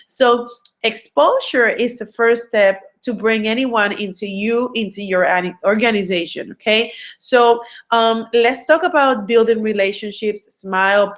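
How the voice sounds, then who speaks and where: A woman from Puerto Rico with a Puerto Rican Spanish accent, Spanish her first language, giving an online recruiting training in an earnest way, with many fillers and restarts. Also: mid-range voice. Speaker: recruiting coach.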